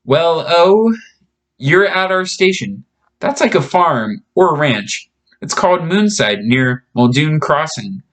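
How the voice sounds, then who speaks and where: Casting: male reader as young storybook girl, friendly and chatty, pitch lifted, reassuring. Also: sing-song, slow, fairly bright tone, heavily acted. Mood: cheerful. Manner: chatty, explaining simply.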